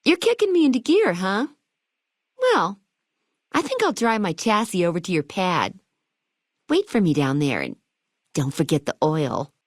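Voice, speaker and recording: A woman's voice: mid-range voice, very animated, unhurried, pauses often, slightly rough, no room sound, clean studio sound. A woman playing a perky, eager and quirky hotel keeper in a video game, chatty and full of chirpy salesmanship and playful old-fashioned slang. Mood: flirty, playful. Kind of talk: video game dialogue lines.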